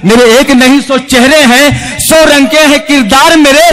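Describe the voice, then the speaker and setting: High-voiced man reciting poetry on stage. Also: steady tone, a little slow, a bit bright, poor audio. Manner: rhythmic declamation with refrains. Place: large outdoor stage with a crowd. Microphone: stage microphone.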